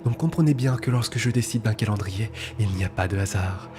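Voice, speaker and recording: A man acting in a dubbed TV thriller as a cold, condescending, time-obsessed hacker leader, speaking in a breathy, hushed, precise and formal way. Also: on the low side, a little fast, natural voice. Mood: haughty, precise. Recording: loud music under the voice.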